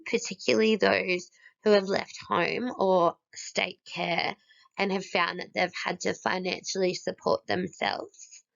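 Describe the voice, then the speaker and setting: Female student presenter, hoarse from a cold, heavy vocal fry, animated delivery, steady list reading. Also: on the low side. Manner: steady, listing one item after another. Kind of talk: read-aloud presentation. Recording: dull lo-fi recording.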